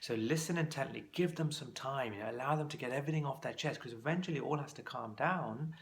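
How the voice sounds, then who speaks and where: A man's voice: mid-range voice, quick pace. A man teaching as a clinical exam course tutor, giving practical coaching tips in a conversational instructional talk to camera.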